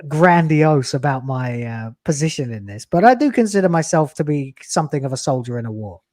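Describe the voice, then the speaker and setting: A man's voice: medium pitch, lively intonation, a little fast, a little mellow, no room sound. A blunt man in his late thirties talks loosely and profanely on a livestream. His voice sits in an ordinary middle range. The sound is dry and close, with no room around it. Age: late 30s. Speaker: YouTuber guest. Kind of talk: casual podcast chat.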